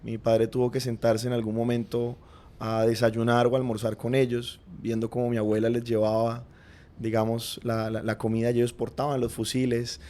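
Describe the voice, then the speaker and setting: A man with a Colombian accent, a podcast guest, reflectively recounting his family's past in an earnest, unhurried way. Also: medium pitch, level tone, darkish tone. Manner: earnest, reflective, frequent "digamos" and "pues" fillers. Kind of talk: personal storytelling in conversation.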